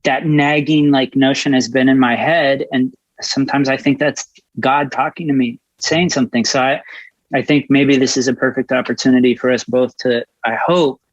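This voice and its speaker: A man's voice: medium pitch, steady tone, moderate pace, no room sound. Middle-aged man, American accent, earnest conversational guest, emphatic delivery, dry muffled lo-fi sound. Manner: impassioned, emphatic, informal with swearing. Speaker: podcast guest, actor. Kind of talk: earnest, candid interview conversation. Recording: dull, muffled lo-fi audio.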